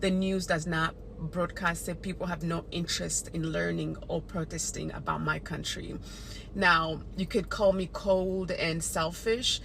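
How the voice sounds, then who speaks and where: A woman from Congo speaking earnestly to camera in a personal video, hesitant and weary, with many pauses. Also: fairly low pitch, vocal fry, a bit bright, slight background noise, poor audio.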